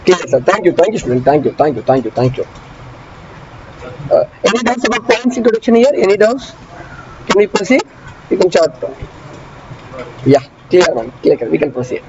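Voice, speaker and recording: Male lecturer, high voice, repetitive teacherly drilling, online class; muffled audio, traffic audible. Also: very animated. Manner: repeats key phrases slowly, drilling students; asks students frequent check questions. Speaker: engineering lecturer. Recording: dull muffled audio with traffic noise behind.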